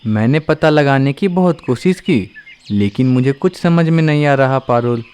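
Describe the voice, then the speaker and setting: A man's voice: medium pitch, normal speed, a little mellow, clean tone, lightly acted. A man voicing a chatty, easygoing male crow who runs a hotel in a cartoon. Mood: friendly. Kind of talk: cartoon voice acting.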